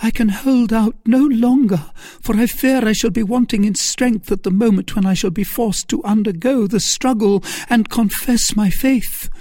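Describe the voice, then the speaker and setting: A narrator voicing a melodramatic woman schemer in an audiobook novel, feigning pious innocence with breathy, imploring pleas. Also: mid-range voice, moderate pace, few pauses, warm tone, dry recording, voice pushed up, natural voice. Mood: desperate pleading.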